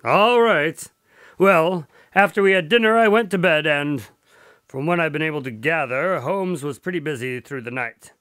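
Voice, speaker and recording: A man's voice: on the high side, normal speed, a bit bright, clean tone, no room sound, natural voice. A man playing a genial but easily exasperated doctor and storyteller in a radio drama, courteous and conversational. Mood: teasing, reflective.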